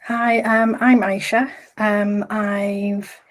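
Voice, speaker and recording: A woman, founder of a community project, speaking in a measured, steady way with fillers on a video call panel. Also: mid-range voice. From Sheffield and Rotherham, England. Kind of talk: live-stream panel discussion.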